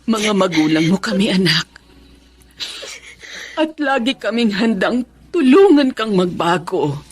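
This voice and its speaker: A woman's voice: medium pitch, very animated, a little slow, breathy edge, soft background music. A woman acting a sorrowful, caring grandmother in a Filipino radio drama, speaking plaintively. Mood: tender, forgiving.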